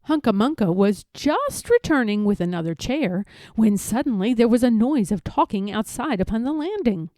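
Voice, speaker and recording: Lively, high-voiced woman reading a children's story. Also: moderate pace, hardly any pauses, no room sound, studio quality. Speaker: storybook reader. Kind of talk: expressive read-aloud of a children's story.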